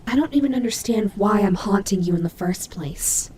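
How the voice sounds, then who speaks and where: A woman's voice: mid-range voice, lively intonation, moderate pace, darkish tone, slight background noise, close to an everyday voice. A woman playing a prickly but caring female nightmare demon rabbit in an audio roleplay, speaking to the listener with hesitant, teasing, flustered delivery. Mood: flustered, tsundere.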